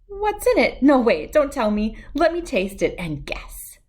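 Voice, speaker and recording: A woman voicing a kindly, cheerful and curious witch in a children's storybook, with a happy, expressive manner. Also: sits high, a little slow, voice pushed up, full character voice. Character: lovely, cheerful, curious adult female witch.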